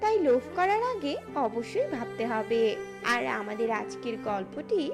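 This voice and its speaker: A woman's voice: on the high side, big pitch swings, normal speed. Woman narrating fairy tales over music. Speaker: story narrator. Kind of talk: scripted fairy-tale narration.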